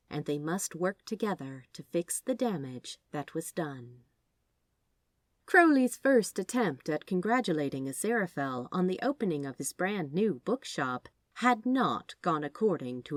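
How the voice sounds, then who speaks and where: Expressive woman narrator with swooping pitch. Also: dry recording, studio quality. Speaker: podfic narrator. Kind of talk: expressive scripted story narration.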